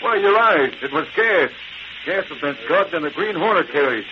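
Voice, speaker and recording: An actor playing a wisecracking newspaper reporter, brash and snappy, in an old-time radio drama. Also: male voice, a little high, animated, moderate pace, lightly acted. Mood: wry, brisk. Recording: very noisy, muffled vintage radio recording.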